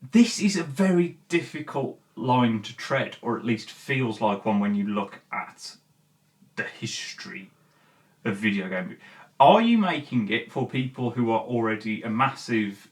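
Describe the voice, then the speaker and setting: A male-sounding voice with a mid-range pitch, recorded at some distance from the microphone. The host talks informally and gives opinions in a halting, essay-like commentary, leaning hard on key words. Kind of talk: video essay commentary, casual chat to viewers.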